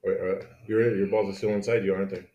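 Roomy echo surrounds a man with a fairly low voice. He banters casually as a podcast co-host, crude and teasing, prodding with question after question. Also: flat delivery, fast talker, warm tone, muffled recording. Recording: echoey room sound.

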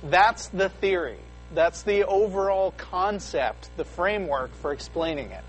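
A man's voice: sits high, faint music behind, rough recording. A young man co-hosting a call-in show, explaining ideas casually with lots of fillers and restarts. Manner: halting, many fillers and restarts. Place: studio.

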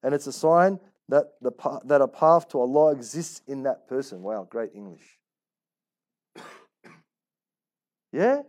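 A man's voice: mid-range voice, lively intonation, unhurried, pauses often, a little mellow. A man giving a religious class as an Islamic teacher, talking colloquially with rhetorical questions, repetition and Arabic religious phrases. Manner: colloquial, rhetorical questions and repetition, role-plays inner voices. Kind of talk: religious class, conversational sermon.

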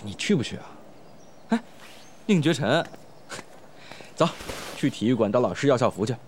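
A young man acting a breezy, teasing high school student in a modern audio drama, talking casually and playfully. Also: lively intonation, moderate pace, darkish tone, a little hiss or hum, lightly acted.

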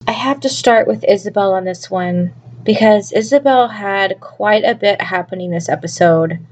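A woman's voice: medium pitch, normal speed, darkish tone. A woman hosting a solo review podcast, talking casually while sick and losing her voice, with a scratchy voice and musing, self-questioning delivery. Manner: musing, asks listeners questions, many restarts. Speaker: podcast host reviewing a TV episode.

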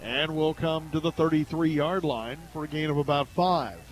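An American man doing live radio play-by-play commentary of a high school football game. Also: fairly even intonation, moderate pace. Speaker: play-by-play sports announcer.

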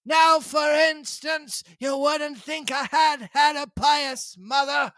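A wild, half-mad old castaway, put on by a woman reading a story, crying out his words in a high, strained voice. He drags each phrase out slowly in a rough sailor's drawl, and the sound is dry and close. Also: fairly even intonation, fairly bright tone, no echo. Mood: excited. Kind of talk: storybook read-aloud.

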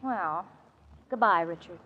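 A woman's voice: lowish voice, takes it slowly. A woman playing an exasperated, anxious young roommate in a 1940s radio comedy. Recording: dull vintage radio recording, noisy, studio audience.

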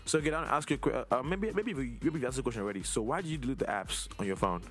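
Male host, twenties, from Nigeria. Rapid, slightly low-voiced, loose and rambling conversational questioning, light music underneath. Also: lively intonation, a bit bright. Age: 20s. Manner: informal, rambling, circles around his questions. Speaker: host interviewing a younger relative. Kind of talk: casual conversational interview.

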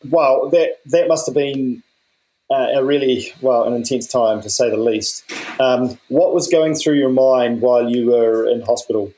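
A man's voice: medium pitch, fairly even intonation, a little slow, mellow, slight background noise, poor audio. A man hosting an interview podcast, enthusiastic, often repeating words as he asks his questions. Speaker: podcast host.

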